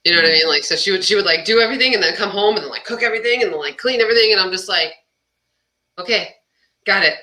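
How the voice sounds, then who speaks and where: A woman's voice: medium pitch, rapid-fire, pushed and bright. A young woman musician, born in Albania and raised near Boston, chatting casually in an interview with lots of "like" and frequent laughter. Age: young adult. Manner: chatty, fillers of "like", laughs often. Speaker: musician guest.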